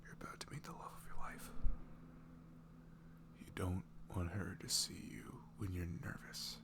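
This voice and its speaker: A man playing a nervous, adoring boyfriend in an intimate ASMR roleplay, breathy, halting and awestruck. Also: sits low, flat delivery. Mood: nervous, muttering. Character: nervous, adoring young boyfriend meeting online love.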